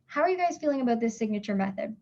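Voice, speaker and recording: A woman's voice: on the high side, lively intonation, racing, mellow, highs cut off. A woman from Canada hosting a live business webinar, chatty and encouraging, checking in often with her listeners while losing her voice. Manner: chatty and encouraging, many fillers and "right?" checks. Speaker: webinar host and business coach.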